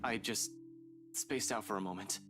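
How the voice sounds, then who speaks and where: An actor playing a weary, worried young man with a husky voice in a visual novel game. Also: on the low side, moderate pace, bright, forceful tone, faint music behind. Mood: weary, troubled.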